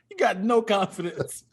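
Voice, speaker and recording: A man's voice: sits high, slight background noise. A man talking as a comedian guest on a podcast, casual and profane, often repeating words as he finds his way into a sentence.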